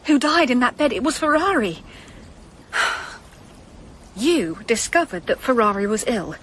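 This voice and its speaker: Expressive, earnest Victorian woman. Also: a little high, a little slow, a little hiss or hum. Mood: probing, accusing. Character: earnest, grieving Victorian woman determined to expose the truth. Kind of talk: radio drama acting.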